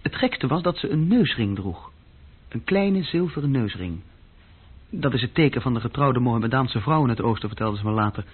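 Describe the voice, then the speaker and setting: A thin, phone-like old radio recording of a young clerk with a slightly husky voice. He recounts things in a restless, self-reproaching way, hesitating as he talks. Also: mid-range voice, expressive, moderate pace. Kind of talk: radio play dialogue and recollection.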